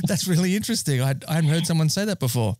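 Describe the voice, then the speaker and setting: A man's voice: a little high, animated, quick pace, a little mellow, no echo, clean studio sound. A man hosting a podcast interview, agreeable and casual, with a few fillers. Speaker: podcast host.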